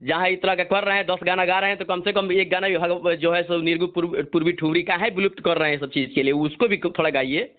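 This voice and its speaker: High-voiced Bihari man on a phone call. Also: steady tone, quick pace, few pauses. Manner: defensive, insistent, repeats himself. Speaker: Bhojpuri singer. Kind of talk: phone interview, explaining himself. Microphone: telephone. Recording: narrow muffled phone-call recording.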